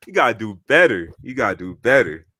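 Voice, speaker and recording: Boastful young man with a lively voice. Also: mid-range voice, fast talker, dry recording, clean studio sound. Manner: boastful trash talk, repeats himself. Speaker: podcast co-host. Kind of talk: casual chat.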